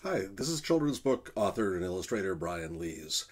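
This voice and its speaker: A man's voice: low voice, sing-song, moderate pace, breathy edge. A man in his fifties, an author and illustrator, presenting trivia from a home office.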